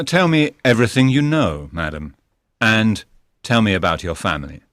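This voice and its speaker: A man acts out a courteous gentleman detective from a storybook. His voice sits low and moves with very lively intonation. He is measured, polite and reassuring, recorded close and dry. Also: dry recording. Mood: reassuring, businesslike. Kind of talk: dramatised audiobook reading.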